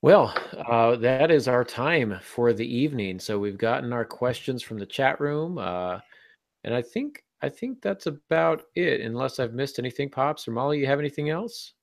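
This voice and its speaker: A man's voice: mid-range voice, expressive, moderate pace, vocal fry, no echo. A man hosting a casual podcast discussion as a writer, talking in a wry, joking way. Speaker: podcast host and author.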